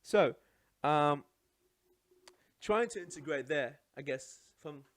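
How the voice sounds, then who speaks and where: A man's voice: on the high side, takes it slowly, no room sound. Iraqi-born man telling his story. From Iraq. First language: Arabic. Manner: animated, chatty, tags lines with "right?". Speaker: podcast guest. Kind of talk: casual storytelling chat. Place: studio.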